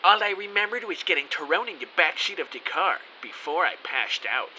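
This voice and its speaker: Man playing an animated, high-voiced everyman narrator. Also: normal speed, low-quality recording. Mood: alarmed. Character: hapless, anxious everyman narrating his kidnapping. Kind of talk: dramatic first-person scripted narration. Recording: faint hiss.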